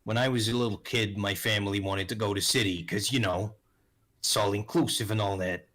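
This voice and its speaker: A man's voice: fairly low pitch, fairly even intonation, fairly quick, a bit bright, lightly acted. A man playing a blunt, sweary, world-weary ex-slave adventurer in a tabletop game. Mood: matter-of-fact. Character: bitter male ex-slave adventurer, afraid of orcs.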